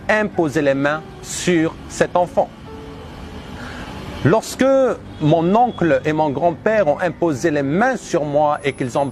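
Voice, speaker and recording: A man in his thirties from Algeria gives a personal religious testimony, speaking earnestly and emphatically in deliberate, repeated phrases. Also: fairly high pitch, a little hiss or hum. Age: 30s.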